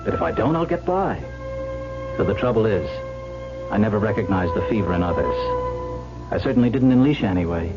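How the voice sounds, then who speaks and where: A man's voice: lowish voice, lively intonation, fairly quick, long pauses, natural voice. An actor playing an easygoing, wisecracking man in an old radio drama, with a wry, confiding and theatrical delivery. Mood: rueful. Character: easygoing, wisecracking wealthy man haunted by a lucky charm. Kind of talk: 1970s radio drama acting, confiding narration and banter. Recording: dull old radio broadcast with music.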